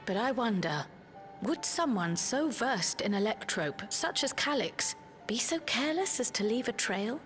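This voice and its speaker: A composed, analytical scholarly adviser. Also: female voice, on the high side, animated, a bit bright, soft background music. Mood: thoughtful concern. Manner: formal, deliberate, thoughtful. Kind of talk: dramatic video game voice acting.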